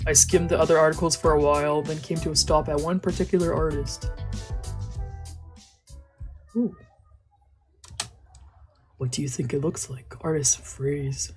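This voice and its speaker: A woman's voice: sits low, steady tone, a little slow, pauses often, darkish tone. A young woman doing casual let's play commentary and reading a visual novel aloud, with slangy, sweary talk. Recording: music behind the voice.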